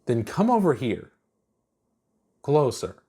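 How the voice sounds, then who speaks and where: A man's voice: mid-range voice, very animated, takes it slowly, dark tone, breathy edge, voice pushed up, full character voice. A man voicing a defensive, easily flustered young monster girl for a story. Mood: defiant. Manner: indignant, easily flustered, stammering.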